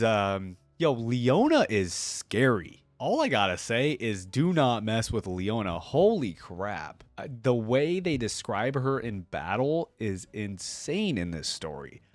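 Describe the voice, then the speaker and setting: A man's voice: lowish voice, no echo. A man hosting a reaction channel, reading lore aloud and commenting as a fan in an informal, slangy way.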